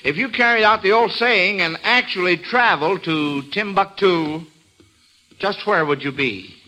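A man's voice: high-pitched, measured pace, pushed and bright, rough recording. A man with an American accent hosting a classic radio quiz show, with folksy, chatty patter for kids and listeners. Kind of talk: classic mid-century radio hosting, part scripted and part ad-libbed.

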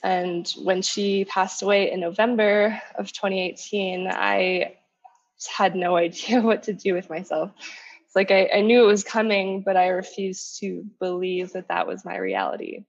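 A woman in her late twenties from Canada, a guest telling her story candidly and laughing softly between phrases, calling in from a coffee shop. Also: mid-range voice, normal speed, highs cut off, a little hiss or hum. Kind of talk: personal storytelling in an interview.

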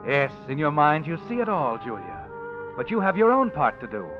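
A man narrating a radio drama in the second person, measured and ominous. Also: fairly high pitch, very animated, lo-fi sound. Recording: old radio recording with music underneath.

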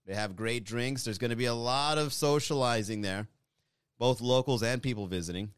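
Man, 30s, comedian chatting to his audience, smooth dry low voice. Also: moderate pace, dry recording. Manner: rambling, self-deprecating, chatty. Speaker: comedian talking to viewers. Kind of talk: casual monologue to an online audience.

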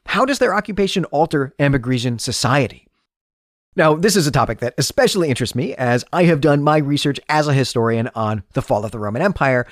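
Male podcast host, mid-pitched, very lively intonation, conversational explainer delivery, dry close sound. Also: dry recording. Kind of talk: semi-scripted book discussion podcast.

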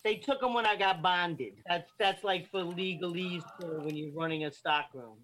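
A man's voice: high voice, moderate pace, a bit bright, a little hiss or hum. A man in his sixties joking and telling stories in a casual radio chat. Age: 60s. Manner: joking, wisecracking storyteller.

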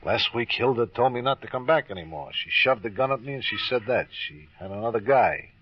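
An actor in a 1950s radio crime drama playing a boozy, rueful ex-husband suspected of murder, needling and slangy. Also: male voice, mid-range voice, expressive, fairly quick, breathy edge, no room sound, soft background music. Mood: bitter, hurt. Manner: sardonic, slangy, over-obliging. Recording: dull lo-fi old radio recording.

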